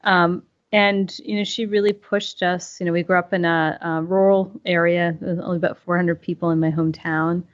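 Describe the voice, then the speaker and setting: A woman's voice: on the low side, flat delivery. A middle-aged woman from northern Maine, a company CEO, answering interview questions reflectively with many fillers and restarts. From northern Maine, USA. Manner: thoughtful, halting, many fillers. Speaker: CEO, podcast guest. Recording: dull lo-fi sound, faint music.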